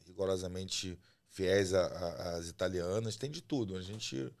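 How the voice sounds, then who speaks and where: A man from Rio de Janeiro with a Carioca accent, a chef chatting as a guest on a podcast in a relaxed, talkative way. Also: low-pitched, normal speed, creaky. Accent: Carioca Brazilian Portuguese. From Rio de Janeiro, Brazil. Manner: relaxed, chatty, often ends phrases with a tag question. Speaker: chef and restaurant owner, guest. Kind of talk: casual podcast interview.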